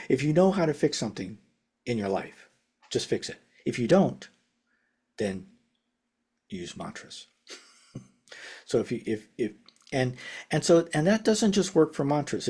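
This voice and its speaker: An older man teaching casually over a video call from his home study. His talk rambles, with restarts, chuckles and phrases that trail off softly. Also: medium pitch, breathy edge. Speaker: meditation teacher. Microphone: video call webcam.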